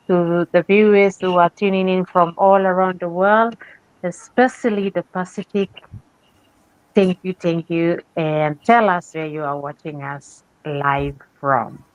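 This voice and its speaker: A woman's voice: lowish voice, fairly bright tone, clean tone, no room sound, poor audio. A woman from Papua New Guinea hosting a live video call, graciously welcoming guests and running introductions. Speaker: host. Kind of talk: livestream introductions.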